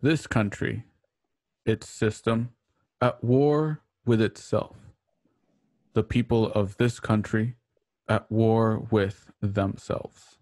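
Radio drama: solemn male revolutionary, acted by a man, fatalistic maxims, low pitch, unhurried delivery. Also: fairly even intonation, a little mellow, no room sound. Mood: grave, resolute. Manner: terse, deliberate, solemn. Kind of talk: remote radio play reading.

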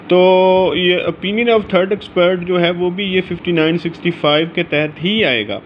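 Higher-pitched Pakistani man lecturing. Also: unhurried, highs cut off, a little hiss or hum. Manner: mixes English legal terms into speech. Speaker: law lecturer. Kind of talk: informal lecture explaining from handwritten notes.

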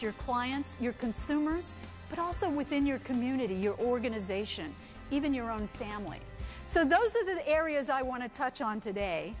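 A woman in her forties giving an energetic, emphatic keynote talk on a conference stage into a headset mic. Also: high-pitched. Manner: energetic, emphatic, gestures a lot. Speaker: keynote speaker.

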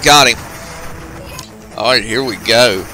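A man's voice: medium pitch, slow pace, sharp tone, rough recording. A man hosting a video game channel, giving informal, chatty gameplay commentary with some slang. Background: arcade game music.

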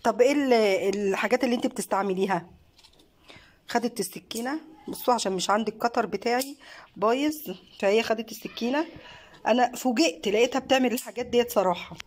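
Egyptian mom, casual vlog host, warm and encouraging, phone-recorded at home. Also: female voice, fairly high pitch, quick pace. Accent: Egyptian Arabic. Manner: warm praising, encouraging, mixes in many fillers. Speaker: craft channel host and mother. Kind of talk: casual home craft vlog, talking behind the camera. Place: living room at a home table. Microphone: phone camera held by the speaker.

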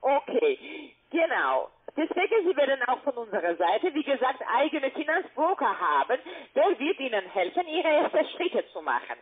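A woman in giving a telephone sales pitch, often tagging her statements with little questions. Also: sing-song, moderate pace, few pauses. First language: not German. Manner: ends statements with questioning tags. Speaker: telemarketing caller. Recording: muffled narrow phone line.

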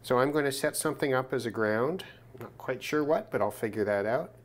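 A man's voice: mid-range voice, fairly quick. A man presenting an unscripted hands-on demonstration in a casual, matter-of-fact way, thinking aloud as he goes. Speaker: presenter of a radio gear test video. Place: indoors at a work desk.

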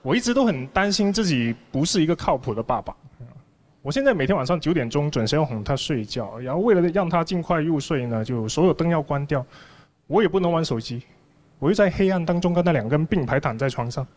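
A man's voice: a little high, expressive, fast talker, darkish tone. A man in his thirties performing a stand-up comedy monologue on a studio stage, telling stories conversationally with mock indignation into a handheld microphone. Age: 30s. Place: large TV studio stage with audience. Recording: clear audience noise behind the voice. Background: audience noise.

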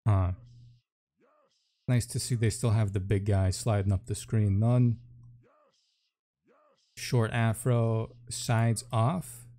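A man's voice: on the low side, little pitch movement, slow pace, long pauses, mellow. A man giving casual, offhand first-look commentary on a video game, thinking aloud as he goes. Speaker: gaming YouTuber.

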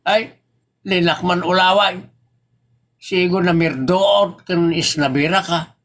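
Man playing a high-voiced, slow grumbling older husband, Ilocano accent. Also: steady tone, a bit bright. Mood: flustered, suspicious. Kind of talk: Ilocano comedy audio drama acting.

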